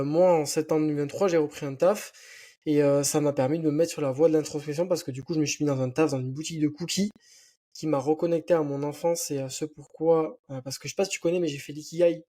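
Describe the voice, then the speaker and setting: A man's voice: fairly high pitch, steady tone, a little fast, dark tone. A young man from southwestern France with a light southern accent, chatting casually as a podcast guest and rambling with lots of fillers. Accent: southwestern French.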